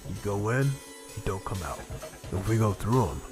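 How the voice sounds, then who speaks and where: Man acting low-voiced, blunt, skeptical guy. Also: sing-song, normal speed, music in the background. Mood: incredulous. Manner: colloquial, blunt. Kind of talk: fan dub game acting.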